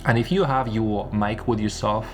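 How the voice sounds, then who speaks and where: Low-voiced man musing over music. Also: steady tone, moderate pace. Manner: rambling, repeats phrases, rhetorical questions. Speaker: online business content creator. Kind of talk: unscripted one-take monologue.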